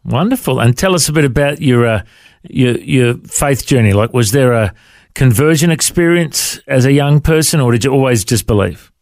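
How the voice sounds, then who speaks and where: A man's voice: medium pitch, animated, normal speed, darkish tone, no echo. A man hosting a podcast interview and asking encouraging questions. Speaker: podcast host. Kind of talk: casual interview.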